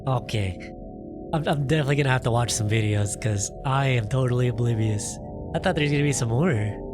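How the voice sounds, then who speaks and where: A man giving casual video game playthrough commentary in a halting, rambling, puzzled way. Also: medium pitch. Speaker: gaming video host. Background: game music.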